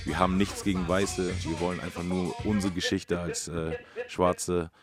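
Low-voiced man explaining music casually. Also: flat delivery, normal speed. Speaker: co-host of a hip-hop history radio feature.

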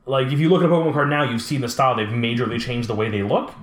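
Man, late twenties, rapid-fire casual talker, animated storyteller, echoey room sound. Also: mid-range voice. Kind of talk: casual chat about collectibles. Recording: roomy, echoing room sound.

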